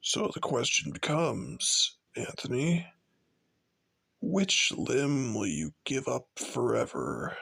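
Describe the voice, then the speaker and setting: Sadistic horror villain, heavy vocal fry, husky, slow and deliberate, menacing, swooping into whispers. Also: male voice, mid-range voice, very animated, fairly bright tone, heavily acted. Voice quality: gravelly, husky, dropping into whispers. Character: sinister sadistic male trap-setting killer taunting a captive.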